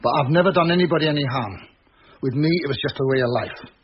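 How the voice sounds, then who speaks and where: An actor playing a gruff, guarded ex-convict pub landlord in a radio drama, curt and defiant. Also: male voice, mid-range voice, fast talker, lightly acted. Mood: wary, frank. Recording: dull lo-fi radio audio, clear noise.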